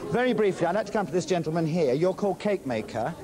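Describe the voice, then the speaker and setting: A man's voice: sits high, animated, fast. A British man reporting live, interviewing members of the public on a handheld microphone. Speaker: outside broadcast reporter. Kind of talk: live vox pop interviewing. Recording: noisy crowd in a hall.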